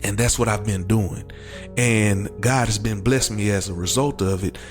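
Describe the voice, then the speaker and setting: Male American interview guest, lowish voice, earnest testimony with emphatic repetition, faint music behind. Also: normal speed. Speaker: show guest, crash survivor.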